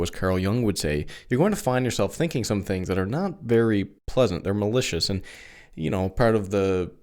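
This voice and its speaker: A young adult man hosting a solo podcast, talking casually through his thoughts with frequent restarts and asides. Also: fairly low pitch. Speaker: podcast host. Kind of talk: solo philosophy podcast monologue.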